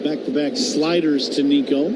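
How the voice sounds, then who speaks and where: A man's voice: fairly high pitch, fairly even intonation, moderate pace. An American man giving conversational color commentary on a live baseball radio broadcast. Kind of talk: conversational live game analysis. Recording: noisy ballpark radio broadcast.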